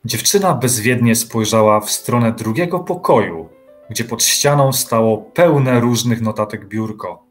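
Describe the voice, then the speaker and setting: A man's voice: mid-range voice, unhurried. A man reading scripted literary narration as the narrator of an audio drama. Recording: music behind the voice.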